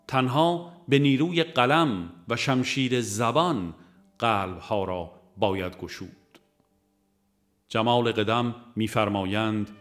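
A man reading a religious book aloud as a formal, measured scripted narration. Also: mid-range voice, a bit bright. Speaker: audiobook narrator.

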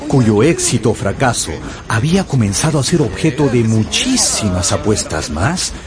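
A man narrating a radio novel as a scripted, dramatic storyteller. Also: medium pitch.

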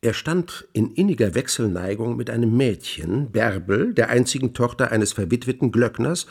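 A man playing a grandiloquent scholar in a radio play, recounting witchcraft lore with relish in declamatory, archaic phrasing and emphatic pauses. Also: medium pitch, lively intonation, gravelly, husky. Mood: measured storytelling. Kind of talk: theatrical literary storytelling in a radio play.

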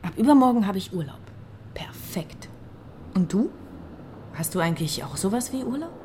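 A woman's voice: mid-range voice, big pitch swings, moderate pace, noisy background, lightly acted. An actress playing a curious East German girlfriend in a radio drama, casual, playful and probing. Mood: tentative, casual.

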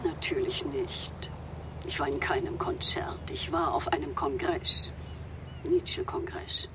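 A woman's voice: deep voice, unhurried, whispered, recorded from a distance. An actress playing a curt, defensive female university lecturer in a radio drama, emphatic and dismissive.

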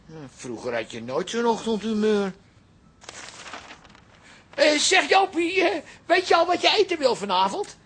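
A man's voice: extremely high pitch, big pitch swings, unhurried, pauses often, fairly bright tone, husky, heavily acted. A man acting in a radio drama as a doting middle-aged father, coaxing, nagging and sentimental. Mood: exasperated nagging. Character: fussing, doting father of a grown-up daughter.

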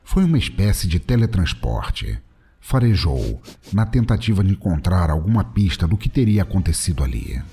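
A man's voice: low-pitched, very animated, normal speed, mellow. A man reading scripted narration for an audio drama.

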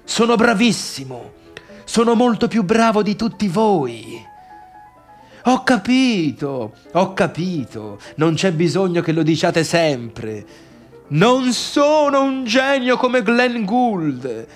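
An actor playing a bitter, needy, boastful failed pianist in a halting theatrical radio monologue that swings between murmurs and outbursts. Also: male voice, high voice, sing-song, faint music behind, exaggerated voice. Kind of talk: radio theatre monologue, addressing imagined listeners.

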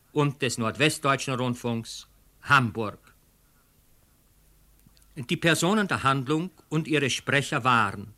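A man's voice: mid-range voice, bright, forceful tone. A man reading scripted credits formally as a radio announcer.

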